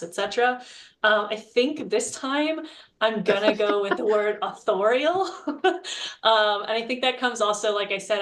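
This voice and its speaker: A woman's voice: mid-range voice, a bit bright. A woman speaking as a panelist in a reflective discussion, explaining her thinking in a thoughtful, conversational way. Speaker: panelist, playwright and screenwriter.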